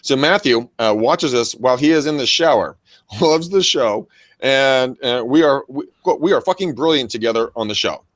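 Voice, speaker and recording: American man, big loud voice, bro slang, enthusiastic, casual show host, swears freely, dry private-office sound. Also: medium pitch, normal speed, no room sound. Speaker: co-host of a real estate training show and agent. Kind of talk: casual unscripted show chat with shout-outs.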